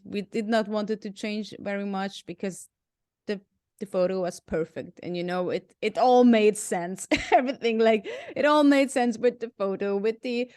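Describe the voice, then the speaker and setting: A woman's voice: medium pitch, darkish tone, dry recording. Hungarian woman w/ german-tinged accent, laughs a lot, dry close sound. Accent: mix of Hungarian and German. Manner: laughs often, lots of "like". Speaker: guest musician. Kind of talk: casual interview chat.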